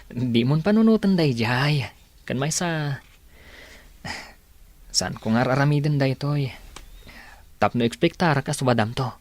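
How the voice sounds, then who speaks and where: An actor playing a kind, devoted young man in a radio drama, soft and earnest, with music behind him. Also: medium pitch, a little slow, long pauses, close to an everyday voice. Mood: gentle. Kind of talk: Ilocano radio drama acting.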